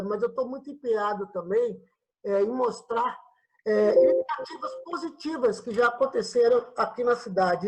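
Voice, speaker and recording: A man's voice: very high voice, breathy edge, low-quality recording. A man with a Brazilian accent from São Paulo state, hosting a casual, friendly talk-show interview. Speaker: host of an online political and cultural talk show.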